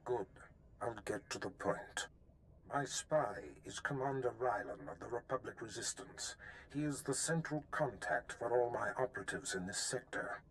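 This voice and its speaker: Game villain: commanding dark master, conspiratorial and deliberate, medium register. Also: male voice, mid-range voice, expressive, slight background noise, rough recording. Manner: measured, imperious, conspiratorial. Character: scheming, commanding dark lord giving secret orders. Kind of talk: cinematic video game voice acting.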